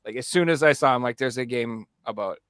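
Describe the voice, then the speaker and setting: A man chatting casually as a podcast co-host, slangy, sweary and excitable. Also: medium pitch, fast, smooth, dry recording, studio quality. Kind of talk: casual chat.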